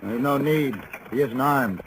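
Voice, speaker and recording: An actor playing a terse, steady gunfighter in an old radio western. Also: male voice, mid-range voice, measured pace, natural voice. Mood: urgent, commanding. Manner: terse, unsentimental. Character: laconic, steady hired gunfighter in the Old West. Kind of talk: 1950s radio western acting. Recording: noisy old radio drama recording.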